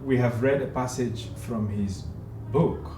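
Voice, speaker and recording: A man's voice: medium pitch, takes it slowly, dark tone. A man hosting a casual studio interview, pressing his guest with teasing, challenging questions. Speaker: talk show host. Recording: echoey studio with background noise.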